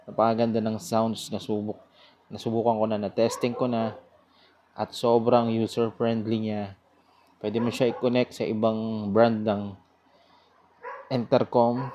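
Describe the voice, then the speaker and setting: Slow, low-voiced man. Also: darkish tone. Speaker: product reviewer. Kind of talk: casual product review vlog.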